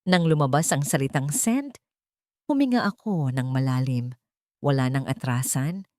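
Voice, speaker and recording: A woman narrating a scripted first-person drama in a measured, deliberate way. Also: very deep voice, warm tone, no room sound, studio quality. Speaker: story narrator.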